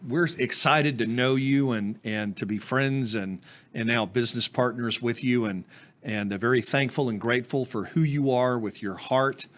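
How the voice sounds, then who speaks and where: Male podcast host, gushing and rambly, lots of uhs, lowish voice. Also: a bit bright. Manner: effusive, rambling with many fillers and restarts. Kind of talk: casual interview.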